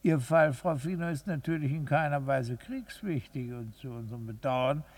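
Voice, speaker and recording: A man's voice: mid-range voice, moderate pace, darkish tone, lightly acted. A man acting a placating, evasive lawyer in a 1950s radio drama, formal and courteous in an officious way. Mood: officious regret.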